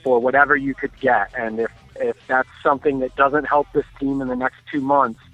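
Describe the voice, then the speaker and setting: A man's voice: mid-range voice, steady tone, fairly quick, no room sound. Man on a tinny phone line. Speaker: sports talk commentator. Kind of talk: casual sports analysis. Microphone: phone call.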